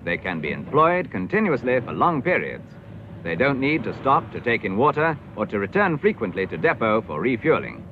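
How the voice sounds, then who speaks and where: A British man reading formal, measured, declamatory narration in the style of a 1950s educational film. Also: medium pitch, sing-song, a little hiss or hum. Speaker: documentary narrator. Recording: dull vintage film soundtrack with music. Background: clear background music.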